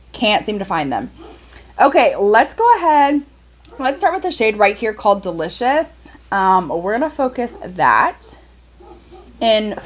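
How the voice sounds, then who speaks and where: A young woman chatting casually through a makeup tutorial, upbeat and full of fillers, her voice a little cracky today. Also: medium pitch. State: slightly cracky voice. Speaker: beauty vlogger.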